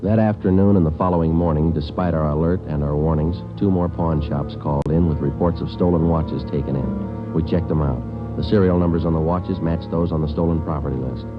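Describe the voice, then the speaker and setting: Radio crime drama lead: terse American cop, deep matter-of-fact delivery, muffled vintage recording. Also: male voice, fairly quick, music in the background. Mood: flat narrating. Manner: clipped, matter-of-fact, deadpan. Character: dedicated, terse male police detective sergeant, narrating his cases. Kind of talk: 1940s-50s radio drama acting and narration.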